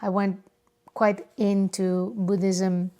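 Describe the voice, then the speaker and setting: Slow-paced woman with a Spanish accent. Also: medium pitch, flat delivery, dark tone, lo-fi sound. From Barcelona area, Spain. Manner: halting, searching for words. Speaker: podcast host. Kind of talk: unscripted personal podcast monologue. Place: home or private studio.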